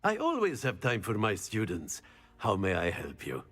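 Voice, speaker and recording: A man's voice: on the low side, wildly swooping pitch, normal speed, a bit bright, breathy edge, faint music behind, exaggerated voice. A man playing a cheerful, chatty professor at a school of magic in a video game, who values fun and play. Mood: upbeat, musing. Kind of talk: scripted game dialogue.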